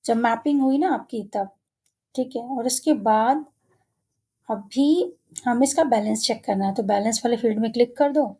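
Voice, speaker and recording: A woman giving an informal, step-by-step software tutorial in an instructional manner, often checking in with the learner. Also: on the high side, moderate pace, slightly rough. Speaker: software trainer. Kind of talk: step-by-step screen tutorial, informal spoken explanation.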